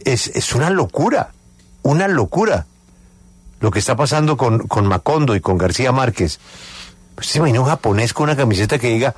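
A man's voice: medium pitch, sing-song, a little fast, breathy edge, no room sound. A man hosting a live radio interview, speaking emphatically and repeating key phrases.

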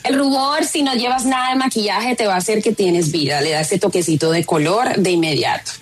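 A woman from Colombia with a Colombian accent, speaking as an interview guest. Her voice sits at a middle pitch, and she tells her story with lively emphasis and conviction. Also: brisk. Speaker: guest, content creator.

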